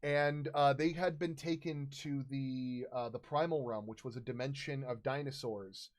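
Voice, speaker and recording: A man in his thirties casually recounting tabletop game stories as a host, halting and searching for words. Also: mid-range voice, fairly even intonation. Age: 30s.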